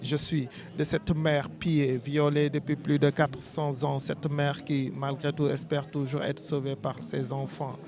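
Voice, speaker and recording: A man with a Senegalese French accent, interviewed at an outdoor event, speaking in an earnest, measured, rhetorical way. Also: on the high side, level tone. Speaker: artist interviewee. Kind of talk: street interview, spoken-word declamation. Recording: crowd noise behind.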